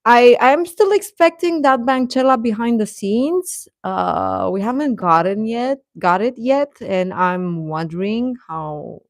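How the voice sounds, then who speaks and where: A woman's voice: fairly high pitch, big pitch swings, takes it slowly, hardly any pauses, no echo, clean studio sound. A woman hosting a casual livestream, chatting to viewers in a hesitant, rambling, stop-and-start way. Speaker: livestream host.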